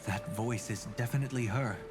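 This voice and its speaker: A man playing a calm, philosophical figure in a game, musing in formal phrases. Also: medium pitch, a little fast, lightly acted. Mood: thoughtful. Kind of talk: measured video game acting. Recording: music under the voice.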